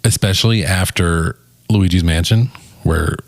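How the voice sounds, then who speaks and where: A man's voice: low-pitched, measured pace, dry recording. A man co-hosting a casual movie review chat, speaking informally and jokily with casual swearing. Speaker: podcast co-host.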